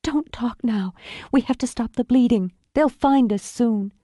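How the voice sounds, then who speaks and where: A distraught young woman played by a female narrator in a thriller audiobook. Her voice is lifted high, breathless and urgent as she pleads. She sounds frightened and tender, recorded close and dry. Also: measured pace, dark tone, no echo. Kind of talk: audiobook thriller character acting.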